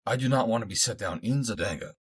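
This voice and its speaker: A man's voice: on the low side, animated, fast, husky, voice pushed up. A man voicing a devoted, emotional young woman freed from captivity, speaking fervently in formal, old-fashioned phrases for an adventure story. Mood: resolute devotion.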